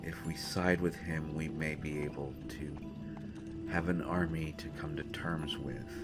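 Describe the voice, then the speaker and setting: A brooding young prince, acted by a man in a fantasy roleplay audio, with a low, deep voice. He speaks earnestly and dramatically to one companion, with halting pauses. Music plays clearly behind him. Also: steady tone. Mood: tense, reasoning. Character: brooding young male prince at war with his father. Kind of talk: fantasy roleplay audio acting addressed to the listener.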